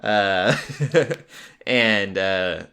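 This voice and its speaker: Bright-voiced man with a low pitch. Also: extremely slow, vocal fry. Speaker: podcast host. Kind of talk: casual pop culture podcast chat.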